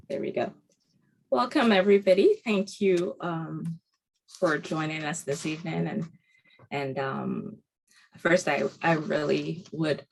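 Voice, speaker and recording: A woman from Barbados giving an earnest webinar lecture over a video call, often pausing on ums and restarting her sentences. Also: low-pitched, gravelly, breathy edge, poor audio. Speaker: guest lecturer.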